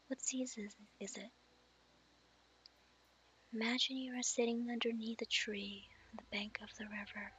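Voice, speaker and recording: A woman guiding a meditation in a soft, slow, half-whispered voice. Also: mid-range voice, poor audio. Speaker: meditation guide. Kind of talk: guided meditation.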